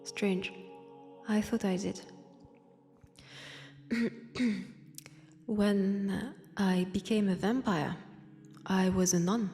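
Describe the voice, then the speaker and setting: A woman's voice: medium pitch, steady tone, drawn-out words, pauses often, dark tone, faint music behind. A woman with a French accent playing a wistful, wry centuries-old vampire in a convent, in an intimate audio roleplay, hesitant and sighing. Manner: hesitant, sighing, drifting into near-whispers. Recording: long church-like reverb.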